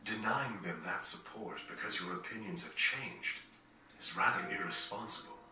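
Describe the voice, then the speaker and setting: A man acting a refined, serious gentleman in a video game, formal, polite and pointed. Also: on the low side, normal speed. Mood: coolly challenging. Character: refined, serious gentleman, coolly probing and courteous. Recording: echoey, distant, over faint game music.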